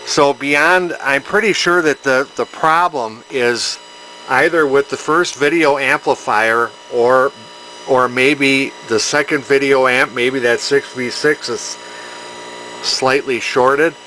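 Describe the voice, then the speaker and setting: A man's voice: medium pitch, slow, pushed and bright, slight background noise, poor audio. A man giving casual, hands-on repair commentary as a technician, plain-spoken and technical, thinking aloud as he works. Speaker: electronics repair technician and video host.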